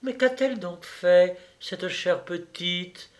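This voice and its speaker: A man's voice: high-pitched, slow pace, pitched above the performer's own voice. A man voicing a kindly, meek wife in a storybook reading, tender and solicitous. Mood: tender concern. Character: kindly, meek lawyer's wife, gentle woman.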